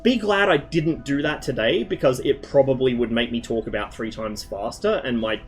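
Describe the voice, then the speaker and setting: A man in the middle of his vocal range chats loosely with his stream viewers, trailing off into tangent after tangent and swearing freely. He has a mild speech impediment that softens his L sounds and a lingering cough from a recent illness. Faint music plays underneath. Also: medium pitch, moderate pace. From Australia. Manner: rambling, swears a lot, jumps between tangents. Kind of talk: casual rambling chat with stream viewers.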